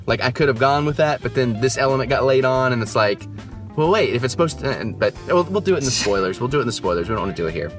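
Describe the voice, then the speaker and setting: A young man talks through his thoughts on a film he has just seen, in a casual and hesitant way. He rambles, fills his pauses with ums and you-knows, and restarts his sentences often. Soft music plays faintly underneath. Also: medium pitch, animated. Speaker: movie reviewer, channel host. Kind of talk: casual post-movie review chat. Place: just back from the theater, at home.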